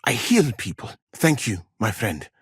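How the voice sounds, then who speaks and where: Game role: proud, wry scholar-physician, formal courteous phrasing, husky and expressive, recorded dry. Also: male voice, fairly low pitch, no echo, full character voice. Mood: firm, defensive. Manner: formal, measured, uncontracted speech with wry politeness. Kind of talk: video game character dialogue.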